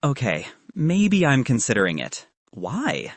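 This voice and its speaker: A man's voice: mid-range voice, huge pitch swings, unhurried, dry recording. A man playing an insecure young male physics student. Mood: defensive, insecure. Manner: hesitant, defensive, self-doubting.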